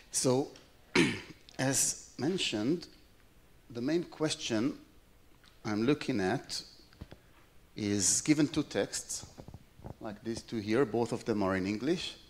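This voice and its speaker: A man's voice: fairly low pitch, slight background noise. Hebrew-native middle-aged male academic, hesitant lecture delivery, many "um"s, hall mic. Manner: hesitant, many fillers and restarts. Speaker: plenary speaker. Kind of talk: conference lecture.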